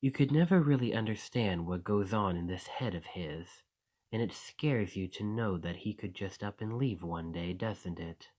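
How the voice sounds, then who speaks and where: A man voicing a possessive, sinister shapeshifter monster in an intimate ASMR roleplay, speaking in a coaxing, deliberate, softly menacing way. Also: fairly low pitch, close to an everyday voice. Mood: insinuating, coaxing.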